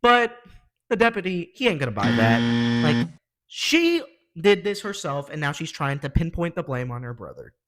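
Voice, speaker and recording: A man's voice: fairly high pitch, very animated, moderate pace, noisy background. A man hosting a reaction video with casual, slangy, opinionated commentary in an exasperated manner.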